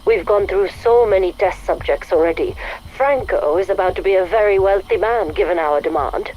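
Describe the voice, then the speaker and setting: A woman playing an arrogant, coldly ambitious scientist dictating a game audio log, measured, self-satisfied and contemptuous. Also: medium pitch, dull audio, natural voice. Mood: cold satisfaction. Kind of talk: scripted audio log monologue. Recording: faint noise, recorded audio log.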